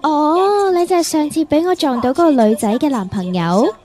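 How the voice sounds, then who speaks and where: Actress as high-voiced sweet young woman. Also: moderate pace, mellow, natural voice. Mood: friendly. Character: polite, sweet, kind young woman commuter.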